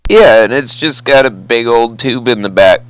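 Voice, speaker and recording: A man's voice: mid-range voice, moderate pace, a little hiss or hum, rough recording. An American man chatting casually over the radio as a hobbyist, with an easygoing, folksy manner. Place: two-way CB radio conversation.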